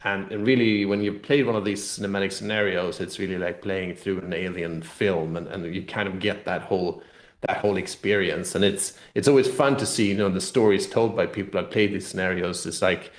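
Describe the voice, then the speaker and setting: A man with a low voice speaks as an interview guest in a roomy, echoing space. He explains things casually, in loose, rambling phrases that often restate themselves. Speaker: game designer and CEO, interview guest. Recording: echoey, roomy sound.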